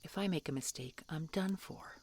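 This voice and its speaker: A woman playing a guarded, tsundere dragon hunter in an intimate fantasy audio roleplay, halting and grudging, often dropping to a hushed delivery. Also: low voice. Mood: earnest, softening. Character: prickly tsundere female dragon hunter, guarded but softening.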